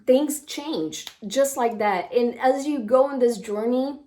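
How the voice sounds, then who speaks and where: A woman's voice: high-pitched, takes it slowly, warm tone. A woman with a Brazilian accent, a Portuguese speaker, talking casually to camera as a manifestation coach, full of "like" and "um". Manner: chatty, with many "like" and "um" fillers.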